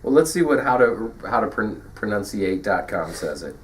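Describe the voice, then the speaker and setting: A man co-hosting a podcast in casual chat, laid-back and quick to agree, sometimes acting out what a fighter might say. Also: fairly low pitch, lively intonation. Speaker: podcast co-host. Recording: voice a bit far from the mic, faint hiss.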